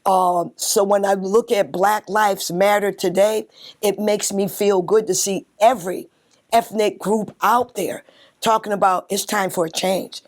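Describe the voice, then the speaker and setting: A woman's voice: mid-range voice, fairly even intonation, measured pace. An older woman giving her reflections in an interview.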